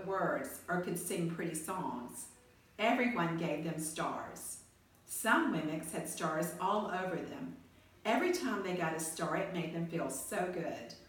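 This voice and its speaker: Woman storyteller, lower-pitched, expressive read-aloud for children; very echoey room with faint noise. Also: recorded from a distance.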